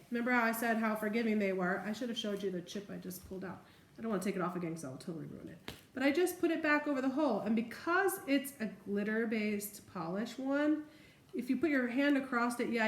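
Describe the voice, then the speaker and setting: A woman's voice: on the high side, lively intonation, fairly quick. A woman chatting informally as she narrates a hands-on nail tutorial step by step. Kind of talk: casual hands-on demonstration chat. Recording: echoey room with faint background noise.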